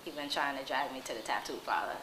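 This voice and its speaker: Young woman with a low voice, echoey room. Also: fast, sharp tone. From Queens, New York. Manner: hesitant, with many "um"s. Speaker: interviewee. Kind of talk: casual interview. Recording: echoey room with background noise.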